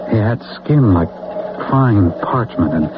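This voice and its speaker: A man with an American accent acting in a radio drama as an earnest, dazed soldier, telling his story haltingly and reflectively. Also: lowish voice, lively intonation, heavy background noise. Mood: reflective, wondering. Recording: phone-like old radio, clear music behind.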